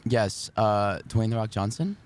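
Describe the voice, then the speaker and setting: A man in his twenties from Miami, a podcast guest chatting outdoors in a laid-back, wry way, often trailing off quietly. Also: lowish voice, animated, a little slow, smooth. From Miami, Florida. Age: 20s. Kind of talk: casual chat. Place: outdoors in a park.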